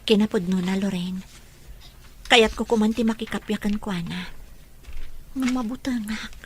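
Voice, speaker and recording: A woman's voice: medium pitch, moderate pace, slight background noise, lightly acted. A woman playing a troubled, earnest older sister in love, in an audio romance drama. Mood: troubled, longing.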